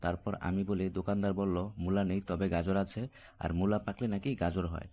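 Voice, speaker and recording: A man playing a naive grown boy in a cartoon, with a deep voice. He talks colloquially and plaintively, like a son grumbling to a friend. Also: fairly even intonation, moderate pace, warm tone. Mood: aggrieved. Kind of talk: casual cartoon dialogue.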